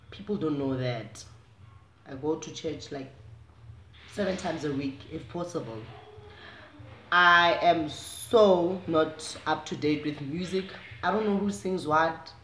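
A young South African woman with a low voice, chatting casually to the camera in a small room at home, animated and chatty. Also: a little hiss or hum. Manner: chatty, animated, gestures while talking. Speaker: vlogger. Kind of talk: casual direct-to-camera chat. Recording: echoey room.

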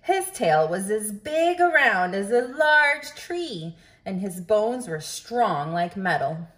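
A woman reading a children's book aloud in a deliberate, expressive way, as if speaking directly to young listeners. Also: medium pitch. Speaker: storybook reader. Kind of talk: children's read-aloud narration.

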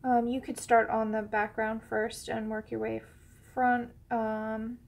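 A woman's voice: fairly high pitch, flat delivery, slow pace, creaky, a little mellow. A woman with a head cold, sounding a bit sniffly, chatting casually through a drawing tutorial, rambling with many ums and sometimes dropping to a whisper. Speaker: coloring tutorial host.

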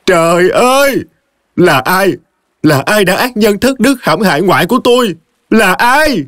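A man voicing a grieving young woman, pleading in a high, strained, tearful voice. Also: lively intonation, a little fast, dry recording, studio quality. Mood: anguished.

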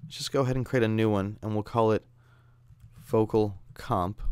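A man narrates a software tutorial in a smooth, clear voice. He explains things step by step in a conversational way, and the sound is dry and close. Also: on the low side, fairly even intonation, moderate pace, dry recording. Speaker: audio software instructor. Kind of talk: instructional screencast narration.

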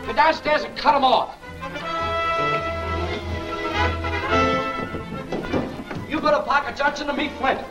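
An actor playing a brisk, take-charge gang lieutenant in a 1940s film serial, speaking tersely. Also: male voice, extremely high pitch, steady tone, loud music behind the voice, rough recording. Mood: urgent. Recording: old 1940 film soundtrack.

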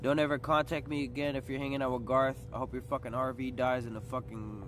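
A man's voice: medium pitch, little pitch movement, fast talker, fairly bright tone. Aggrieved young man ranting over street noise. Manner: profane, aggrieved, combative. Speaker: livestreamer. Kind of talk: ranting livestream talk. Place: outdoors on a city street at night. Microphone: phone at arm's length. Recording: noisy outdoor phone livestream, street noise. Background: street and vehicle noise.

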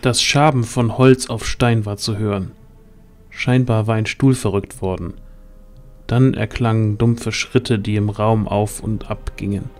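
A man's voice: on the low side, fairly even intonation, unhurried. A man narrating a scripted fantasy audio drama as the storyteller.

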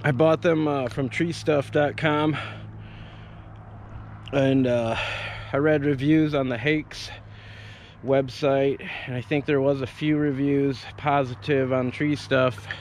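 A man's voice: medium pitch, steady tone, takes it slowly, a little hiss or hum. A man in his thirties, an arborist, giving a casual, rambling gear review from up a tree outdoors on a head-mounted camera, full of fillers and restarts. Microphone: head-mounted action camera.

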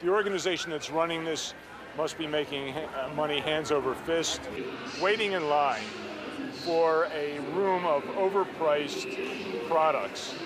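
A man's voice: fairly high pitch, measured pace, crowd noise. A middle-aged man giving blunt, critical answers in an interview. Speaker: convention visitor. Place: indoor convention hall.

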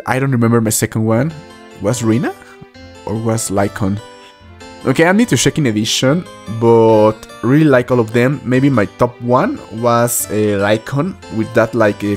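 A man in his twenties giving casual, halting, repetitive reaction commentary as a video host, recorded close up in a small room. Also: medium pitch, darkish tone. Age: 20s. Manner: halting, repetitive phrasing with filler words. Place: small indoor room at a desk.

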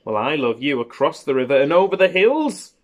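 A storyteller voicing a big, patient grown-up male hare, gentle and affectionate, in a picture-book tale. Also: mid-range voice, very animated, brisk, natural voice. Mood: fondly outdoing.

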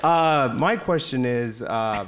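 A man's voice: mid-range voice, lively intonation, takes it slowly. A man asking a casual, hesitant question as an audience member at a live show. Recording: live event, loud crowd noise.

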